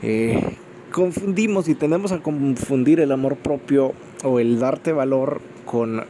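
A man's voice: mid-range voice, measured pace, background noise. A Salvadoran man with a Salvadoran Spanish accent hosting an unscripted solo podcast, rambling with frequent fillers, recorded on a phone microphone. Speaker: podcast host.

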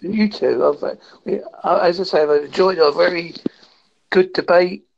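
Gravelly high-voiced man in his fifties. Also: highs cut off, slight background noise. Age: 50s. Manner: calm, measured, reflective. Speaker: video call guest. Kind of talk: casual conversation. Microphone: video call.

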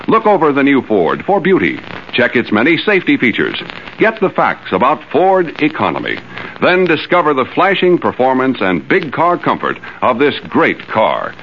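A man's voice: mid-range voice, wildly swooping pitch, normal speed. A man delivering a polished, emphatic scripted commercial in 1950s radio announcer style. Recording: dull, hissy old radio recording.

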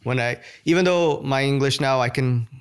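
A man's voice: medium pitch, moderate pace, clear, resonant tone. Portuguese-accented male host, engaged. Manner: engaged, sharing own experience, encouraging. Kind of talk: conversational interview.

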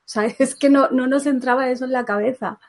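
A woman's voice: high voice, steady tone, brisk, a little mellow. A woman from Menorca hosting a podcast interview, asking questions and agreeing often. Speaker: podcast host. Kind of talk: casual interview.

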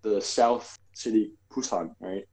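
A man's voice: lowish voice, unhurried, darkish tone. A man co-hosting a podcast, talking casually in a rambling way with lots of fillers and hedges. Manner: rambling, filler-heavy, hedging. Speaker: podcast co-host. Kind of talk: casual chat.

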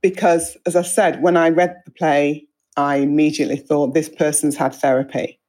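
A counsellor hosting an interview, explaining things in a calm, measured way. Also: mid-range voice. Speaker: counsellor host.

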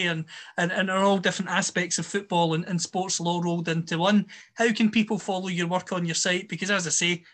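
A man's voice: sits high, pushed and bright. A man hosting an interview, asking questions in a polished, enthusiastic way. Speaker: podcast host. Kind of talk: interview host.

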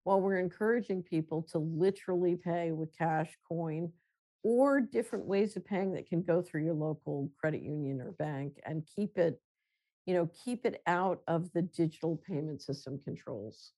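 A woman guest on a remote interview, explaining her ideas in a measured, conversational way with occasional restarts. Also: lowish voice, mellow, poor audio. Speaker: guest, runs a financial company.

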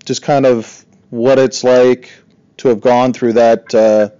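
A man conducting a casual interview, speaking in a hesitant, pausing way. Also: mid-range voice, level tone, warm tone, clean tone, dull audio.

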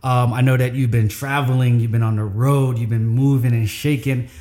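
A man's voice: mid-range voice, fairly even intonation, moderate pace, a little mellow. A man in a mid-range voice hosts a casual podcast chat. He is laid-back and repeats himself, with lots of "all right" and "man." The room around him rings and sounds echoey. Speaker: podcast host.

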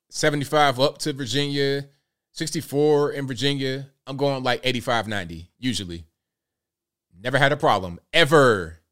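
A man in his late thirties hosting a casual livestream, talking in a relaxed way and reading out viewer comments. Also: mid-range voice, smooth. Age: late 30s. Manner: laid-back, conversational, repeats himself. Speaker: livestream host.

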